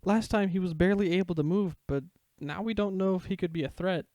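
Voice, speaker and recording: A man's voice: sits high, brisk, darkish tone, no echo, studio quality, voice pushed up, close to an everyday voice. A man voicing a wary, deferential male prison warden in a fan fiction story reading. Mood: worried caution.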